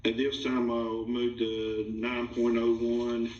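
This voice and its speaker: Very monotone man chairing, far from the mic. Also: medium pitch, slow. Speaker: meeting chair. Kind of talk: procedural meeting talk. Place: indoor meeting room. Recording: roomy, distant, dull sound.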